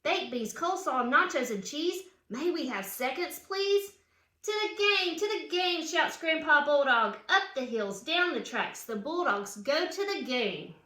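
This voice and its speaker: A woman's voice: high voice, expressive, unhurried, bright, forceful tone. A woman reads a children's picture book aloud with chatty asides, putting questions and emphatic cheers to young listeners. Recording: roomy, echoing room sound.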